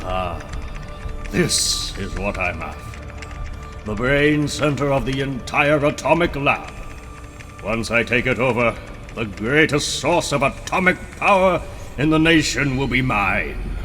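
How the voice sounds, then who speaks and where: A man's voice: medium pitch, animated, slow pace, bright, forceful tone, soft background music. A man playing a boastful, menacing mad-scientist supervillain in a dramatic comic book reading. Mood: scheming. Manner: grandiose, menacing, theatrical. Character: boastful megalomaniac supervillain scientist with mechanical arms.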